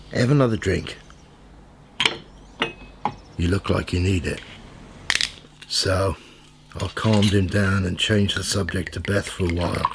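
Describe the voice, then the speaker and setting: Low, creaky, cynical newspaper man, played by an actor. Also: background noise. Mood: coaxing, sly. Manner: sardonic, goading. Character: cynical, smug male tabloid reporter narrating his own investigation. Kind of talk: radio play acting with first-person narration.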